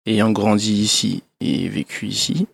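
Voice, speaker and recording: Low gravelly male radio guest. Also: measured pace. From France, Gabonese parents. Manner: reflective, relaxed, with fillers. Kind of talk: radio interview. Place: radio studio.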